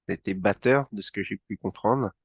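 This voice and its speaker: A man hosting an interview, asking questions in a hesitant, halting way with many fillers. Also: on the low side, slightly rough, no echo. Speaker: host.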